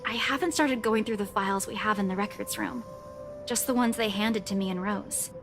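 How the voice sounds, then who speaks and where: An actress playing a tired, defensive young woman who answers with curt, sharp retorts. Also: mid-range voice, moderate pace, over music, natural voice. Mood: worried.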